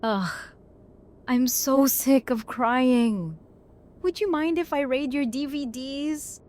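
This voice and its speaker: A woman's voice: high-pitched, takes it slowly, a little mellow. A woman playing a tough, grieving young survivor in an audio drama, speaking in a blunt, weary way. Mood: worn out. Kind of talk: fan audio drama acting.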